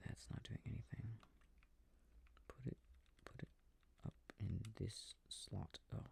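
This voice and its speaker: A man softly rambling to himself as he plays a video game for a sleep aid, often dropping into whispers, with a breathy, gravelly voice close to the mic. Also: fairly low pitch, fairly even intonation, takes it slowly, a little hiss or hum. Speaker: audio creator playing a video game. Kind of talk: soft rambling gameplay talk for a sleep aid. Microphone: close, dry microphone.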